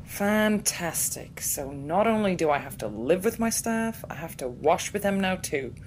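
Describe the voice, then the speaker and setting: Woman as sarcastic, lowish-voiced starship doctor. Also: expressive, a little slow, a little mellow, low-quality recording. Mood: sarcastic, irritated. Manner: dry, sarcastic, casual. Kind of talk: science fiction fan audio drama acting. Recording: background noise with low rumble.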